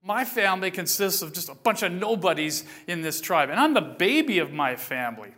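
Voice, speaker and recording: A man's voice: high voice, sing-song, brisk, sharp tone, full character voice. A man voicing an anxious, doubting young man who protests and stammers his questions. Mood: hesitant.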